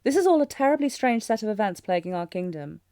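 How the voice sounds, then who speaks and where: A woman's voice: medium pitch, sing-song, fast talker, mellow, dry recording, clean studio sound. A woman playing a tender, anxious young princess in a romantic audio drama, speaking formally and hesitantly with sighs. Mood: concerned, questioning. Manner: formal archaic diction, hesitant, breathy sighs. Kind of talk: scripted romantic fantasy audio roleplay, intimate.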